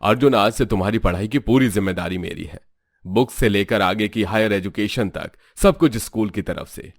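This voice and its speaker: A man's voice: fairly low pitch, normal speed, gravelly, no echo, studio quality. A man playing a kindly, encouraging school principal with reassuring authority in a storybook drama. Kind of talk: storybook audio drama acting.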